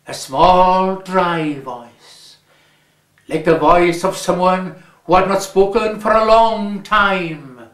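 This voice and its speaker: A man with a Welsh accent reading a story aloud, measured and lingering on his words. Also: high voice. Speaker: reader. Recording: roomy echo.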